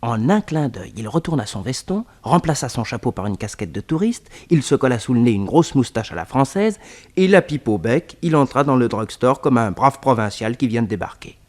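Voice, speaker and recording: A man's voice: medium pitch, lively intonation, moderate pace, darkish tone. A man narrating a French detective serial in a dramatic, scripted storytelling style.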